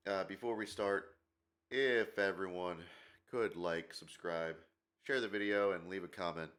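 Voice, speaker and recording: Low-voiced gaming guy w a stuffed up nose, rambly, lots of uhs and ums. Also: fairly bright tone. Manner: rambling, many fillers, opinionated. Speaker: gaming channel host. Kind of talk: casual commentary video.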